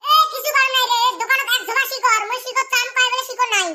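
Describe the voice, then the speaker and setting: A performer voicing a cheeky, squabbling cartoon village boy with an artificially pitched-up squeaky voice and a northern Bengali accent. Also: fairly even intonation, fast, bright, forceful tone, heavily acted. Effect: pitch-shifted up. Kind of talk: comic cartoon dialogue in rural dialect.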